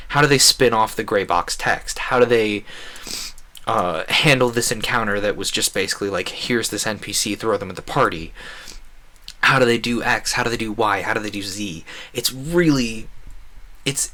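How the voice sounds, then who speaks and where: A man's voice: on the low side, normal speed, bright, forceful tone. A man chatting casually as he streams a game, going off on rambling, enthusiastic tangents. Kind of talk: casual chat while playing a game.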